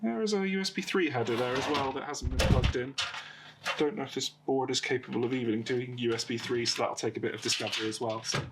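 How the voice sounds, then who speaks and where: Male host, croaky from a cold, hesitant workshop chatter, noisy home recording. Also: mid-range voice, fast. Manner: hesitant, many uh and um fillers. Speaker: host of a PC repair channel. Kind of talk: casual hands-on repair talk.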